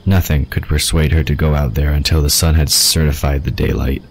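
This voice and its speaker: A man with an extremely low voice narrates a fantasy audio drama from a script. Faint noise and ambient effects sit behind him. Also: steady tone, a little fast. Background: ambient sound effects.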